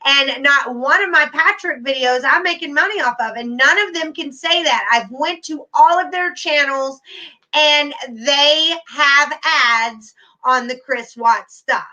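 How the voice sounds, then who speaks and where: A woman's voice: high-pitched, measured pace, sharp tone, poor audio. A middle-aged woman hosting a casual livestream, chatting to viewers by name with endearments. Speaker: YouTube livestream host.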